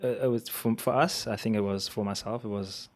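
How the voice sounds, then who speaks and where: A South African man in his late twenties talks easily as a podcast guest. His low voice often drops quiet at the ends of sentences, and he laughs often. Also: steady tone, a little fast. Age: late 20s. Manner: soft-spoken, easygoing, laughs often. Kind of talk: casual interview chat.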